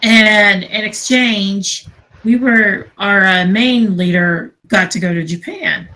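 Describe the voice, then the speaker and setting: A woman chatting casually and telling stories in a sweary, animated way, acting out what people said. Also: mid-range voice, takes it slowly, low-quality recording. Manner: sweary, animated retelling with acted-out dialogue. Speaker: podcast co-host. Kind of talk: casual storytelling chat.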